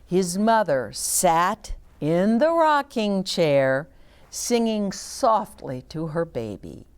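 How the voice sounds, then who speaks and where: A woman's voice: lowish voice, very animated, slow pace, clean tone. A woman reading a children's storybook aloud as a story time host, with short explanatory asides to young listeners.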